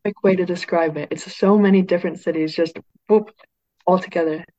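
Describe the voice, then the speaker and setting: A teenage girl from Northern England chatting eagerly about her football life, her speech loaded with "like". Her pitch stays level and even. The sound is dry and a little muffled, as over a video call. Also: brisk, no echo. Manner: chatty, peppered with "like", excited. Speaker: youth football player. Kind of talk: casual interview chat.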